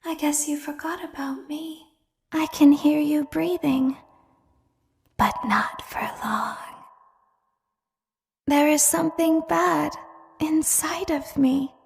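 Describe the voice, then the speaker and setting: A woman voicing an eerie, childlike female animatronic in a video game, calm and coaxing with a quiet menace, speaking in measured, deliberate phrases. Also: sits high, creaky, reverberant, heavily acted. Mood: quietly menacing. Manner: measured, deliberate, short instructions. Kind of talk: scripted video game voice acting.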